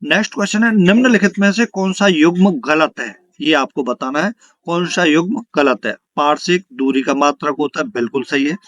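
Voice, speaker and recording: A man's voice: fairly high pitch, animated, normal speed, fairly bright tone, dry recording. A man teaching an exam lesson, reading out questions and answers and repeating them for emphasis.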